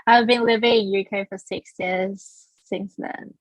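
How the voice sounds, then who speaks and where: A woman's voice: medium pitch, steady tone, a little slow, dry recording, poor audio. A woman from Taiwan, a school founder being interviewed, talking earnestly with frequent restarts. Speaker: guest, founder of a language school. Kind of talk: casual interview.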